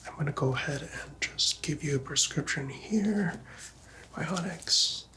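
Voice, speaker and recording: A man whispering gently and reassuringly in a breathy voice, playing a doctor in an ASMR medical roleplay. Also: a little high, moderate pace, slight background noise. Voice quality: breathy, mostly unvoiced whisper.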